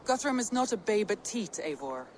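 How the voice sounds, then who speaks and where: A woman's voice: medium pitch, sing-song, moderate pace, darkish tone, a little hiss or hum, close to an everyday voice. An actress playing a confident, loyal Viking woman in a video game, assured and firm. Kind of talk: dramatic video game acting.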